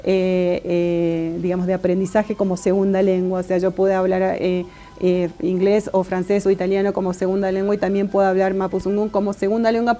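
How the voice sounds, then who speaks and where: A woman with an Argentine accent, a guest academic answering interview questions in a measured, thoughtful way, with many fillers and restarts. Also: medium pitch, warm tone. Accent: Argentine Spanish.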